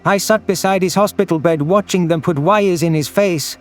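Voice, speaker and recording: A man's voice: high-pitched, normal speed, faint music behind, voice pushed up, close to an everyday voice. A man voicing a furious, bitter alien prey creature in an audio drama, accusatory and screeching. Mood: bitter, accusing. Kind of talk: audio drama character acting.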